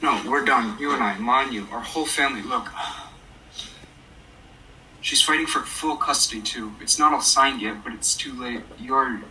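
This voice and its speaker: An exasperated, worried son played by a man in a radio drama. His voice is very sharp and of medium pitch. He sounds some way from the mic, with slight noise. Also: moderate pace. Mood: firm, weary. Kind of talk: radio play acting. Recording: distant mic, faint background noise.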